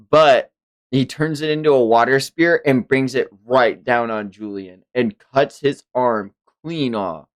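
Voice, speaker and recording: A man streaming a comic read-along, narrating dramatically and reacting in casual, profane language. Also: mid-range voice.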